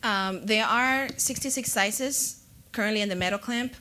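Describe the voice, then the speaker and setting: A woman's voice: medium pitch, normal speed, pushed and bright. A woman co-presenting a product, answering questions in a conference room with many fillers. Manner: explanatory, with fillers.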